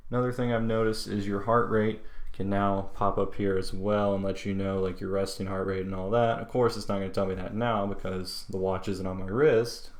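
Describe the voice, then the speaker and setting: A man hosting a casual tech walkthrough, explaining features in an informal way. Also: lowish voice. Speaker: tech video host. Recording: echoey, reverberant room.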